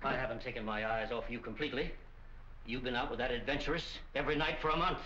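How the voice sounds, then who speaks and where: A man's voice: mid-range voice, fairly even intonation, quick pace, pushed and bright, slight background noise, close to an everyday voice. An older actor playing a stern, embattled businessman father in a 1940s film, with formal, indignant diction. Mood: disapproving. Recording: muffled old 1940 film soundtrack.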